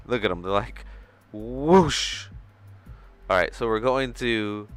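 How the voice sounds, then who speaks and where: Low, clear-voiced man thinking aloud. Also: moderate pace, a bit bright. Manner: informal, self-talking, murmuring through steps. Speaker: livestream host, animator. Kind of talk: casual screen-share tutorial livestream, thinking aloud. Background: music under the voice.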